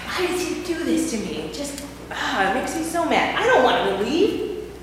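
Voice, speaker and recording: A woman's voice: high-pitched, very animated, moderate pace, noisy background. A woman playing an overworked housewife in a church skit, talking emotionally and swinging between scolding and pleading. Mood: praying. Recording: echoey hall from far off.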